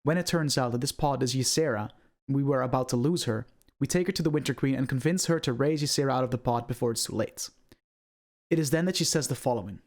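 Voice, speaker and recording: A man's voice: medium pitch, fast talker. A man hosting an online video, giving scripted commentary on video game lore in a presenter's style.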